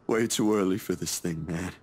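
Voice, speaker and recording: An actor playing a weary, haunted young man in a visual novel, speaking in a hushed way. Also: low-pitched, breathy edge. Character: weary young man haunted by his past, self-soothing.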